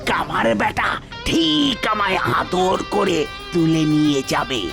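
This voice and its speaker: A scheming cartoon devil, with a heavily creaking, gravelly voice and slow delivery. He is sly and boastful yet cowardly, stretching his words theatrically over a clear music bed. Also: high voice, very animated, full character voice. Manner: theatrical, drawn-out scheming and pleading. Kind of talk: animated children's folk tale dub. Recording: music behind the voice.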